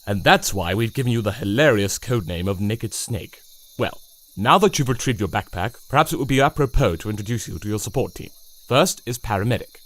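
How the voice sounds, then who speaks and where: A man's voice: on the low side, animated, brisk, faint music behind. A man playing a dry, officious commander giving comic mission briefings in a wry, condescending way. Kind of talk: comedic mission briefing in a parody dub.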